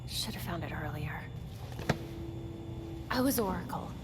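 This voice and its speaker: A woman's voice: lowish voice, animated, a little slow, very noisy. An actress playing an earnest, self-doubting young female vigilante in a video game cutscene. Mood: troubled, self-doubting. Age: young adult. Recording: long reverb, music behind.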